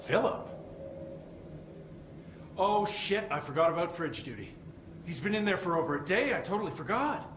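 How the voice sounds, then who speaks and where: A fussy male operator who talks in a formal, pedantic way and gets flustered under pressure. His pitch swings widely. The voice sounds roomy, with faint noise behind it. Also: lively intonation, normal speed, pauses often, fairly bright tone. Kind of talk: video game cutscene acting. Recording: echoey room, faint noise.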